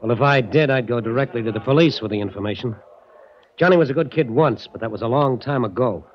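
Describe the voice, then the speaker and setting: A man's voice: mid-range voice, fast talker, lightly acted. A man playing a courteous, ominous club owner. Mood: grave. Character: polished male nightclub owner, grave and warning. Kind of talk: 1940s radio drama acting. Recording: dull old radio recording with faint hiss.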